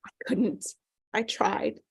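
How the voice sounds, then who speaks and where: A woman's voice: takes it slowly, lightly acted. A woman playing a traumatised, apologetic mother in a dramatic table read, faltering and repeating herself. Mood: subdued.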